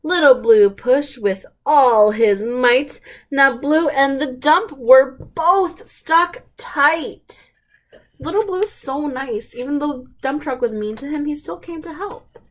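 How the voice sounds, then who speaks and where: Lady doing kids storytime, higher voice, slow reading, simple emphatic phrases. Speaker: storytime host reading to children. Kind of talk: picture book read-aloud with questions to listeners.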